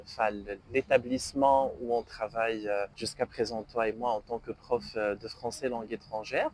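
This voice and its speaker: A man's voice: lowish voice, level tone, moderate pace, a little mellow, slight background noise. A man from Morocco, talking as a guest in an interview, hesitant and full of filler sounds. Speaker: guest, French teacher and banker.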